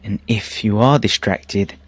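A man narrating a guided meditation in a calm, measured, soothing way with pauses. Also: on the low side, poor audio. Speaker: meditation teacher. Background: background music.

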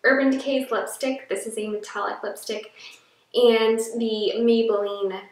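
Young woman, froggy voice, chatty beauty vlogger, higher pitch, roomy sound. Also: steady tone, a little slow. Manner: chatty, rambling, fillers and restarts. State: froggy voice from allergies. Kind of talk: casual product review to camera. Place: small room indoors. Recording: echoey room, a little far from the mic.

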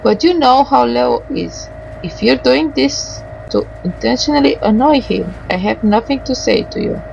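Woman gamer reading dialogue out loud, kinda high creaky voice, mutters asides, noisy background. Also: lively intonation, normal speed, dull audio. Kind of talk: let's play commentary, reading dialogue aloud.

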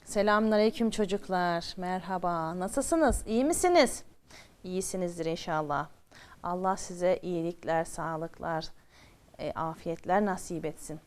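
A woman telling a story to children, expressive and often turning to her listeners with questions. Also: on the low side, a little slow, clean tone.

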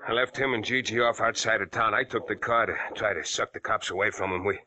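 An actor playing a surly, defiant small-time bank robber, talking in sullen, clipped gangster slang in 1940s radio drama style. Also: male voice, lowish voice, fast talker, natural voice. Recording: old radio recording, crowd noise behind.

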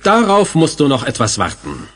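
An actor playing a brash, theatrical hero in a 1980s German fantasy audio drama, with dramatic delivery. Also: male voice, a little high, huge pitch swings, normal speed, a bit bright, husky, full character voice. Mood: teasing.

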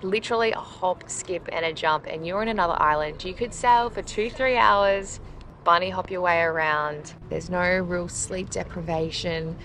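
Australian woman vlogging outdoors, noisy background. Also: lowish voice. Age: young adult. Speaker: travel vlogger. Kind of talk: casual chat to camera. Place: outdoors at a marina and town streets. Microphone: camera held at arm's length. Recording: outdoor background noise, traffic ambience.

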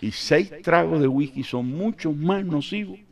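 A man's voice: on the high side, measured pace, creaky. Older male radio host, loose joking delivery, studio mic. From Colombia. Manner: animated, joking, rambling. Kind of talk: casual radio chat, storytelling. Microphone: studio mic with headphones.

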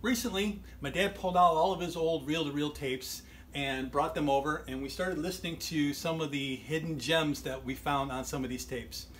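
Higher-voiced man, noisy home recording. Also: moderate pace, fairly bright tone. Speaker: host, band musician. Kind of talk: casual talk to camera introducing old tapes. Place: small furnished home music room. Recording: noticeable background noise.

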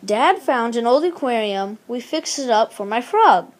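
A woman's voice: a little high, unhurried, a little hiss or hum. A woman reading a children's picture book aloud expressively, acting out the exclamations and dialogue. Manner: animated, acts out exclamations and dialogue. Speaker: storybook reader.

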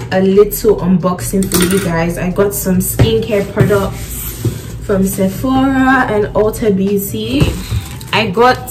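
A woman's voice: lowish voice, rough recording. A young woman in her twenties chatting casually to her phone camera in a home kitchen, rambling with lots of fillers. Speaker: vlogger. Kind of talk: casual vlog chat. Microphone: phone camera at arm's length.

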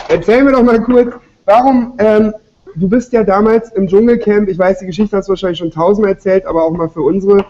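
A man's voice: sits high, steady tone, brisk, mellow, highs cut off, a little hiss or hum. A man hosting a cooking show in a studio kitchen, joking and interviewing guests while cooking.

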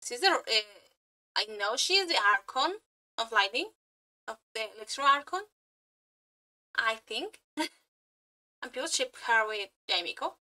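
A woman reacting casually and excitedly as a streamer, gushing, exclaiming and swearing freely. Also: on the high side, measured pace, long pauses, low-quality recording. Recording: music playing behind. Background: game trailer music.

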